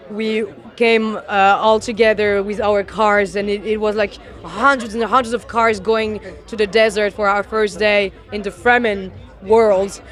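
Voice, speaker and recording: A woman whose first language is French, speaking hesitantly in an interview and searching for words. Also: medium pitch. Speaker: actress being interviewed.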